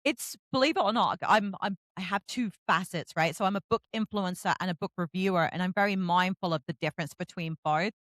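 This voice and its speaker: A woman's voice: fairly low pitch, fairly quick, hardly any pauses, fairly bright tone, no room sound. A woman hosting a casual interview about books, chatting in an effusive, gushing way. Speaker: host, book influencer and reviewer.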